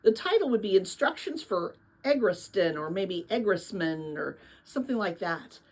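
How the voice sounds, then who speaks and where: Lady doing a curious young storybook girl, eager, kinda husky, really up and down. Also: mid-range voice, big pitch swings, moderate pace, voice pushed down. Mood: doubtful.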